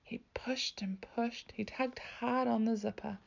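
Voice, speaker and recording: Creaky-voiced woman reading a storybook. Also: fairly high pitch, steady tone, measured pace, muffled recording. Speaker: teacher reading aloud. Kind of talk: expressive children's storybook narration.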